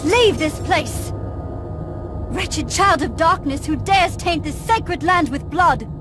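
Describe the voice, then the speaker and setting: A righteous young princess in a fantasy game, high and very expressive. She speaks slowly and with dramatic emphasis, indignant, over clear background music. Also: heavily acted. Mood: outraged. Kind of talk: scripted video game cutscene acting.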